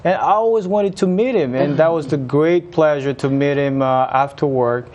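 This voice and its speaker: A man from Haiti with a Haitian accent, a municipal engineer chatting as a guest in an interview and often pausing on fillers. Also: on the high side, a little mellow. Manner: hesitant, many uh fillers and restarts. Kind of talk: casual interview chat.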